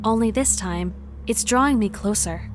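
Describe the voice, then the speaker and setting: A woman playing a wary, determined traveler, giving measured, introspective first-person narration in a visual novel fan dub. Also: a little high, noisy background, natural voice. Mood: tense, determined. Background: music.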